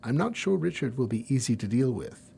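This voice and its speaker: A man's voice: mid-range voice, very animated, a little fast, creaky, warm tone, breathy edge, full character voice. A man playing an easily shocked, earnest university professor in an audio drama, speaking dramatically. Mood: subdued, earnest.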